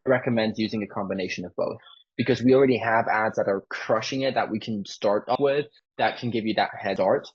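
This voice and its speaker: Man, sales closer. Low, husky voice, informal pitch style, muffled call recording. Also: brisk. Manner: informal, peppered with "like". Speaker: sales closer for a marketing agency. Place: online sales call with screen sharing. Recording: dull lo-fi call audio.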